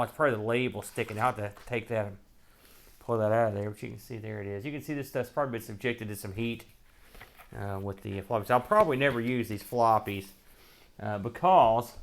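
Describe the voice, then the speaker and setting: A man hosting a retro computer show, giving casual, rambling unboxing commentary and half talking to himself as he handles items. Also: medium pitch.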